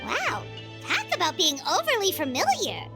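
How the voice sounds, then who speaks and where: A tiny excitable sidekick creature, extremely high and squeaky, with wild swooping intonation. Blunt, childlike game dialogue over music. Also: female voice, moderate pace, full character voice. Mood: worried, blunt. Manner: exclamatory, childlike. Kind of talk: video game voice acting.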